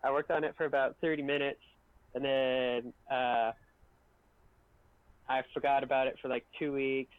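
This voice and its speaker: A man's voice: medium pitch, level tone, normal speed, long pauses, clear, resonant tone, no echo, phone-quality sound. A man rambling casually and self-deprecatingly about his work on a group livestream. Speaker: video editor and voice actor.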